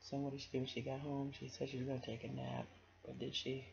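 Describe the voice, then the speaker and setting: A woman's voice: a bass voice, monotone, fast talker, vocal fry, muffled recording, a crowd in the background. A woman doing a children's storytime, reading and chatting to listeners in an encouraging, affectionate way.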